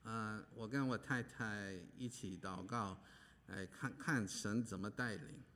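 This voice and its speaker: Male pastor from Hawaii, low and unhurried, halting delivery, long reverb of a church hall. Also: a bit bright, a little hiss or hum. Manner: halting, many pauses and fillers. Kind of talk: preaching with interpreter.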